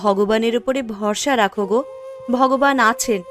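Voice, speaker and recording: A woman's voice: a little high, normal speed, faint music behind, natural voice. A woman voicing a devout, reassuring village woman in a cartoon. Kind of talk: cartoon dialogue acting.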